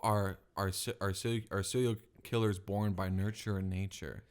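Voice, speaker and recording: A man's voice: deep voice, steady tone, normal speed. A young man co-hosting a podcast in casual chat, teasing, stuttering and restarting words. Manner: teasing, laughs often. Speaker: podcast co-host.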